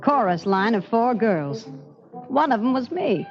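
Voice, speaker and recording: A woman's voice: on the high side, expressive, unhurried. An actress playing a frank, anxious Old West chorus girl who narrates in a 1940s radio drama. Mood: wistful storytelling. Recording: muffled old radio recording with music.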